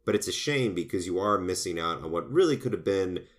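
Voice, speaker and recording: A man in casual movie-review chat, rambling with frequent restarts and animated asides. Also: sits low, fairly quick. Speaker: film review podcast co-host.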